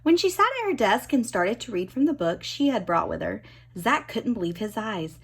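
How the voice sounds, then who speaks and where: A woman reading a children's storybook expressively, with dramatic emphasis and drawn-out key words. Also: mid-range voice, big pitch swings, husky. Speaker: storybook reader.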